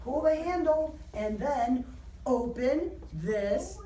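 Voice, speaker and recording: A woman teacher reading a picture book aloud to young children, animated and encouraging, stopping for simple questions and explanations. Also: high-pitched, slow pace. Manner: animated, encouraging, acts out sound effects. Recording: roomy, distant mic, faint background noise.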